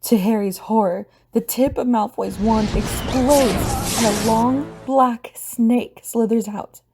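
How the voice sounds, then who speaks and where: A woman's voice: on the high side, slow, over music. A woman narrating a scripted audio drama as storyteller.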